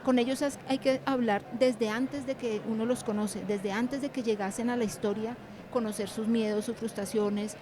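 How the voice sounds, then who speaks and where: A woman's voice: a little high, steady tone, moderate pace, background noise. A woman teacher being interviewed, talking reflectively about her craft and repeating words and phrases for emphasis.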